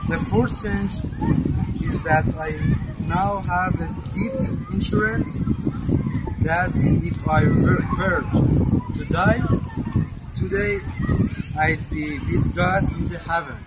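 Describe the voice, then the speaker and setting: A man with a Persian accent, a native Farsi speaker, slowly giving a faith testimony with many pauses and self-corrections. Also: sits high, huge pitch swings. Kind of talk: slow read-aloud testimony. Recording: noisy recording.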